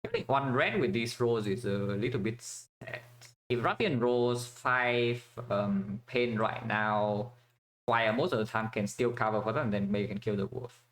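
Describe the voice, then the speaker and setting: A man's voice: on the low side, unhurried, fairly bright tone. A man from Vietnam giving casual commentary while playing a strategy game, thinking aloud with many fillers and restarts.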